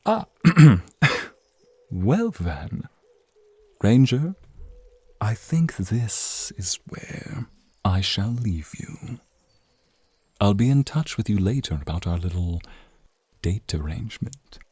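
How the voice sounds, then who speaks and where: A man voicing a flirtatious, teasing male naga in an ASMR roleplay, speaking in a seductive, breathy, drawn-out way to the listener. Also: fairly low pitch, big pitch swings, dark tone, no room sound, heavily acted. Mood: smug, amused. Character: flirtatious, teasing male naga, crimson-eyed protective companion. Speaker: voice actor.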